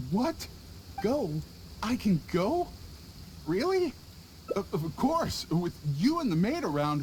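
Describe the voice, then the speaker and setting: A man's voice: high voice, a little hiss or hum, low-quality recording. A man voicing a cowardly, scheming visiting merchant in a game, stammering and panicky. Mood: pleading.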